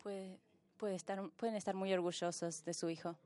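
A woman working as a simultaneous interpreter, rendering conference speeches live in halting pieces and correcting herself as she goes. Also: medium pitch, steady tone, mellow.

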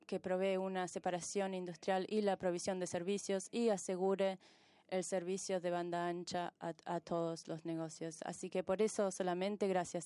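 A woman working as a simultaneous interpreter, rendering conference speeches live in halting pieces and correcting herself as she goes. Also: on the low side, dark tone, clear, resonant tone, dry recording.

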